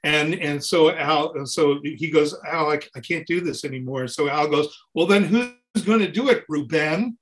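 A man chatting casually as an interview guest, telling rambling, animated anecdotes in a talkative way. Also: on the high side, normal speed, few pauses. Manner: gabby, rambling, animated storyteller. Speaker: interview guest, touring keyboard player.

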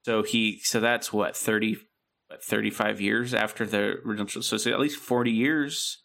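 Low gravelly man chatting casually. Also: fairly even intonation, moderate pace, fairly bright tone. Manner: rambling, many fillers and restarts. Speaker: podcast co-host. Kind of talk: casual chat.

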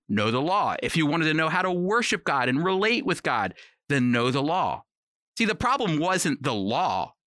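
A man with a bright, forceful voice in a dry studio recording teaches scripture as a radio host. He speaks conversationally but earnestly, repeating phrases for emphasis as he explains. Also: fairly high pitch, dry recording. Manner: earnest, emphatic, uses rhetorical repetition.

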